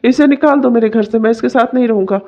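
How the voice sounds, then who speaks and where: A woman's voice: on the high side, quick pace, mellow, close to an everyday voice. A woman voicing a domineering, complaining young husband in a melodramatic family story. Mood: outraged. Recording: dull, muffled lo-fi sound.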